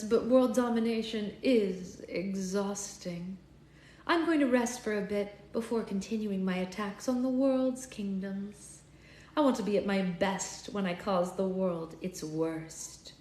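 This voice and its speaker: A woman's voice: mid-range voice, unhurried, darkish tone, lightly acted. A woman voicing a vengeful, haughty evil enchantress from a storybook, theatrical and wounded, with dramatic emphasis. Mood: smug, menacing. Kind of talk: expressive storybook reading. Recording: roomy echo, faint background noise.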